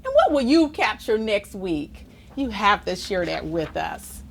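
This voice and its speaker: A woman reading a children's picture book aloud in an expressive, deliberate sing-song way. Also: medium pitch, vocal fry, a little hiss or hum. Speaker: storybook reader.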